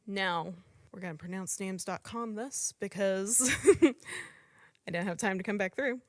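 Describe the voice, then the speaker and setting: A woman hosts a podcast and tells a true story in a relaxed, chatty way, pausing to apologize for how she pronounces names. Her voice sits at a middle pitch. She is recorded close to the mic in a dry space with no room echo. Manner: conversational, apologizes for mispronouncing names. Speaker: podcast host.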